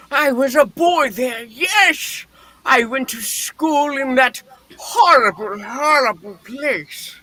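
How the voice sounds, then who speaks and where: A performer reading the part of a frightened, wistful old miser in a theatrical play reading, with halting, dramatic delivery. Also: sits high, sharp tone, no room sound, exaggerated voice. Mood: wistful, regretful.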